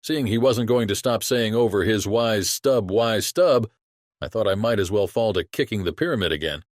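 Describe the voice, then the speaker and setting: A man's voice: on the low side, expressive, normal speed, dry recording, studio quality, close to an everyday voice. A man playing a chatty sailor who tells a yarn to his shipmate in colloquial, exclamatory audiobook acting. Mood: confiding wonder.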